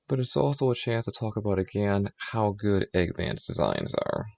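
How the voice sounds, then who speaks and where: A man's voice: on the low side, wildly swooping pitch, moderate pace, vocal fry. A man streaming as he writes fan fiction, casually explaining his choices and thinking aloud. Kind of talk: casual commentary on his own writing.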